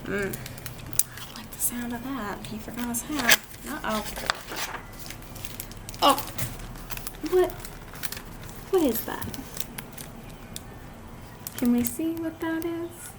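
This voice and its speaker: A woman reading a picture book aloud to children, expressive and drawn out, with chatty asides to her listeners. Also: on the high side, slow pace, long pauses. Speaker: read-aloud storyteller. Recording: clearly noisy amateur recording.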